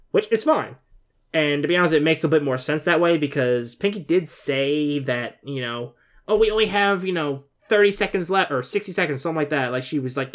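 A man in his twenties giving casual, rambling, informal off-the-cuff commentary as a reaction video host. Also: mid-range voice. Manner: rambling, informal, mild swearing. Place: bedroom, indoors.

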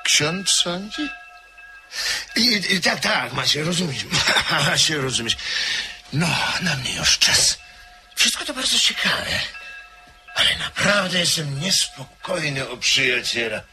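A man playing a polite, slightly nervous visiting gentleman in a radio drama. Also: on the high side, sing-song, slow pace, faint music behind, heavily acted. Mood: uneasy.

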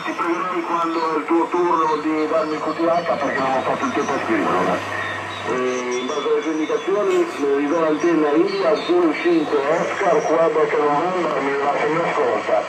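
A man's voice: a little high, normal speed, recorded from a distance. A man speaking as an amateur radio operator, spelling out call signs, heard through a shortwave radio receiver. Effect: heard over a shortwave radio. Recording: noisy echoey radio speaker, from afar.

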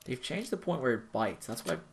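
A man's voice: mid-range voice, big pitch swings, fairly quick. A man giving live gameplay commentary, rambling and thinking aloud as he plays. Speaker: gaming YouTuber, player. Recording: clearly noisy, game sounds behind. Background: video game ambience.

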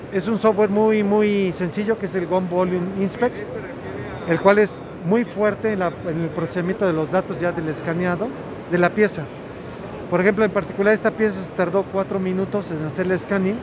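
A middle-aged man giving a technical product demonstration at a trade show, explaining step by step with frequent "eh" fillers. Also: high-pitched. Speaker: product specialist. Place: large indoor trade show hall. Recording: noisy trade show hall.

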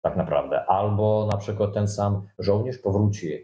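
A man speaking in an interview clip, halting and searching for words. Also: fairly low pitch, warm tone, echoey room. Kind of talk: interview excerpt.

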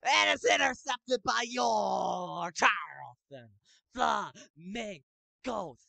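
A young man calling plays in an online football game, shouting touchdowns and then laying down the rules to the players. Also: high-pitched, expressive, very slow, vocal fry, dry recording. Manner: hype announcer yelling touchdowns, then bossy about rules. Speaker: game commentator and league host. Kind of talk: excited play-by-play commentary.

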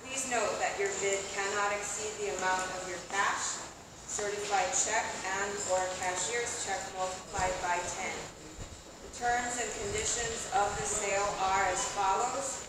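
A young adult woman, a foreclosure auction commissioner, reading legal sale terms aloud in a formal, measured way outdoors in a covered plaza. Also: mid-range voice, fairly even intonation, background noise. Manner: formal, measured, reads from papers. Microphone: far camera on a tripod. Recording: echoey distant outdoor camera audio.

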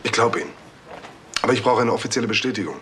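A man's voice: medium pitch, racing, noisy background, lightly acted. A man playing a composed, sceptical police investigator in a naturalistic radio crime drama. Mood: calm, firm.

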